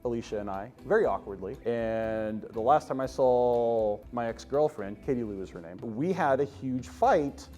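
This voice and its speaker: A man hosting a comic first-person story, told theatrically with dramatic emphasis and drawn-out words. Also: mid-range voice, animated, a little mellow, soft background music. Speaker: storyteller host.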